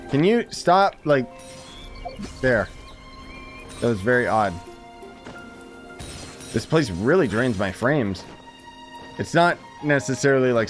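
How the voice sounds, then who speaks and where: A man's voice: medium pitch, a bit bright. A man giving casual, slangy live commentary as he plays a game and chats with viewers. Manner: informal, slangy, exclaims and chuckles while playing. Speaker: gaming streamer.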